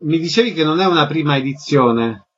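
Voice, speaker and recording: A man's voice: a little high, lively intonation, a little fast, fairly bright tone, husky, lo-fi sound. A man hosting a radio interview, putting concise questions and introductions to guests.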